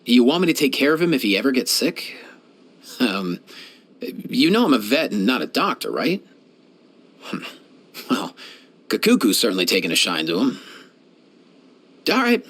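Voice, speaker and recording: An actor playing a kindly, laid-back young veterinarian in a video game, talking in casual slang. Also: male voice, mid-range voice, sing-song, normal speed, long pauses, fairly bright tone. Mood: relaxed, friendly. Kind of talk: game voice lines, conversational.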